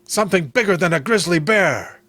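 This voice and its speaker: A man voicing a plain-spoken sheriff's deputy in a horror story, grave and steady, with a rustic, reassuring way of talking. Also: sits high, brisk, no room sound, exaggerated voice.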